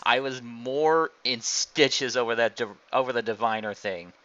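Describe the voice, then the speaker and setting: Clear-voiced man on a slightly dull mic. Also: mid-range voice, unhurried, bright, forceful tone. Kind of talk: casual card game chat. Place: online group voice call.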